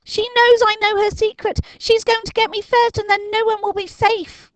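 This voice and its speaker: A woman voicing an excitable, frightened young boy in a storybook, with a stammer when he is scared. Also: very high voice, normal speed, slight background noise, voice pushed up. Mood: panicked.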